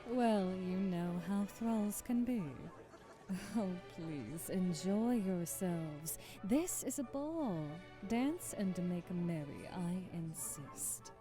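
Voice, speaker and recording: A woman's voice: lowish voice, animated, slow pace, music in the background. A woman playing a haughty, aristocratic vampire hostess in an ASMR audio drama, speaking in a formal, theatrical and commanding way. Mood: smug, gracious.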